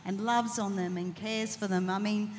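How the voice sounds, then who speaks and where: A woman's voice: medium pitch, steady tone, moderate pace, a little mellow. A woman speaking earnestly in a casual church panel chat. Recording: hall with long reverb.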